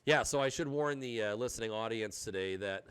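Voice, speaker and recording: A man's voice: lowish voice, brisk, a bit bright, clear, resonant tone. A man with a head cold, sounding stuffy, hosting a podcast with easygoing banter and chuckles. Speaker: podcast host. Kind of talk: casual chat.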